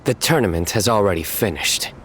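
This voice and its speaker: An actor voicing a stern young lawman who delivers puns in a deadpan way, in a game cutscene. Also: on the low side, brisk, very noisy, close to an everyday voice.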